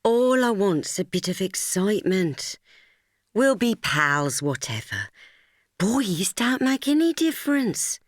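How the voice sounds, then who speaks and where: A woman voicing a lively, restless teenage girl, teasing and coaxing. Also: mid-range voice, slow pace, no room sound, clean studio sound, pitched above the performer's own voice. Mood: eager. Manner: teasing, coaxing, whispery at times. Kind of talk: audiobook dialogue.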